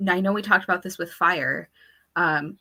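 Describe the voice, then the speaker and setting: A woman's voice: fairly low pitch, normal speed, creaky, slightly rough. A woman co-hosting a casual book discussion, talking informally and animatedly, often acting out quotes as she goes. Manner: animated, informal, quotes characters and thoughts in talk. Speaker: co-host of a book discussion show.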